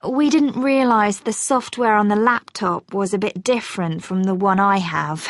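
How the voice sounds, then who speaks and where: A woman playing a young British university student in a scripted exam dialogue. She sounds modest and agreeable, with a mid-pitched voice recorded very dry. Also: moderate pace, no room sound, lightly acted. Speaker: student presenter. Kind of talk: scripted listening-test dialogue acting.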